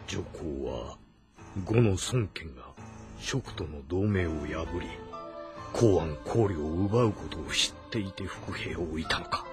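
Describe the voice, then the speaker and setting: A man playing a resolute veteran general in a historical game drama, gravelly and forceful in his commands. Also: low voice, lively intonation, unhurried, music in the background. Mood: brooding. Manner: forceful, barking military orders. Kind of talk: Japanese historical drama voice acting.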